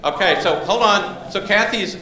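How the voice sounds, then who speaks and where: A man's voice: high voice, moderate pace, bright, forceful tone. Man running a meeting in an echoey hall. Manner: informal, conversational, with frequent restarts. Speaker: meeting facilitator. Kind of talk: public meeting facilitation. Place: large room at a public library. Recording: echoey hall, faint room noise.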